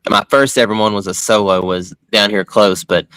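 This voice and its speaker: A man, hosting a podcast in a laid-back, halting way with frequent restarts. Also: fairly low pitch, steady tone, a bit bright, clear, resonant tone, no echo. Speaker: podcast host. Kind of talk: casual podcast chat.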